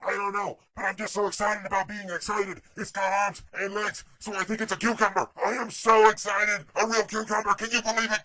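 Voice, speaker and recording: A man's voice: high-pitched, level tone, fairly quick, few pauses, bright, forceful tone, pitched above the performer's own voice, low-quality recording. A man voicing a big werewolf with the mind of a child, who gushes breathlessly and repeats himself. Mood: excited.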